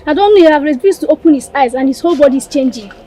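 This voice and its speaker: A woman's voice: high-pitched, animated, normal speed, warm tone. A woman playing an anxious, respectful royal messenger in a melodramatic film. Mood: anxious, urgent. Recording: faint noise behind dialogue.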